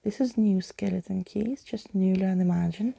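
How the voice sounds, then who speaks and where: Whispery asmr lady reading a bedtime story, super crackly and breathy, soft, right up on the mic. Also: medium pitch, normal speed, no echo. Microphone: close microphone, dry.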